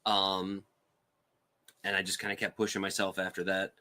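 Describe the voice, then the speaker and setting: Low-voiced man chatting as a podcast guest. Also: completely flat delivery, moderate pace, pushed and bright. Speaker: podcast guest, figure sculptor. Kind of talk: casual interview chat.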